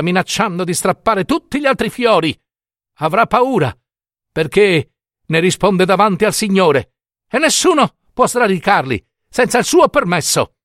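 A man's voice: high voice, fairly bright tone, no room sound, clean studio sound, pitched above the performer's own voice. A man voicing a bossy old woman from a storybook, insistent and urgent in manner. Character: bossy old woman tending Death's greenhouse.

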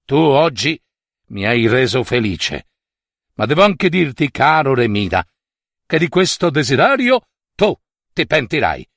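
A guy doing a grave all-powerful god, slow and measured, storybook telling. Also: mid-range voice, very animated, vocal fry, a bit bright, no room sound, studio quality, full character voice. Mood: solemn.

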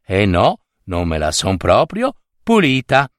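A man's voice: on the low side, wildly swooping pitch, slow pace, no echo, studio quality, pitched below the performer's own voice, full character voice. A man voicing a slyly innocent ogre's daughter, a young wife, in a singsong protesting manner for a storybook. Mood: coy, protesting.

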